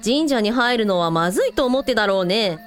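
ラジオドラマで、はっきり物を言う頼れる姉を演じる女性。. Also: female voice, fairly high pitch, lively intonation, brisk, soft background music, close to an everyday voice. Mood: inquisitive. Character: dependable, outspoken elder sister. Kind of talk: amateur anime-like radio drama acting.

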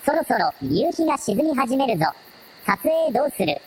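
A woman playing a young male sidekick in an audio drama, husky, casual and matter-of-fact, with an analytical fanboy streak. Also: high-pitched, very animated, normal speed, heavily acted, low-quality recording. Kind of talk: Japanese audio drama dialogue.

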